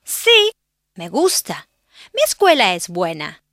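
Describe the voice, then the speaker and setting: Man in falsetto as a shy teen girl, careful and soft, storybook read-aloud. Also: wildly swooping pitch, takes it slowly, no room sound, studio quality, heavily acted. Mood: gentle. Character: polite fifteen-year-old girl visiting family.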